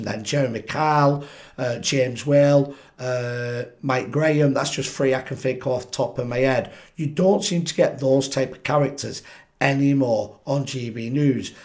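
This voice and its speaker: Croaky-voiced male vlogger, mid-range pitch, opinionated and direct to camera. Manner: animated, asks viewers directly, frequent restarts. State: croaky voice from chest infection. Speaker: political commentary channel host. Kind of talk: opinionated solo commentary to camera.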